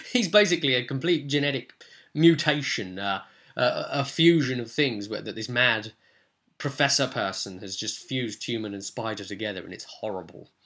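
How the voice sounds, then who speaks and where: A man with a bright, keen-edged voice talks through a tabletop gaming session as its game master. He is informal and chatty, wandering into slangy asides with easy enthusiasm. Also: medium pitch, normal speed, long pauses. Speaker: game master recapping his tabletop role-play session. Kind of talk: casual vlog recap, informal rambling.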